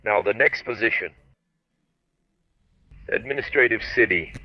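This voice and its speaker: A man's voice: on the low side, unhurried, no room sound, muffled recording. A helicopter pilot talking over his headset. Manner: explains to viewers, reads crisp radio phraseology. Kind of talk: casual cockpit commentary with radio calls. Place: helicopter cockpit in flight.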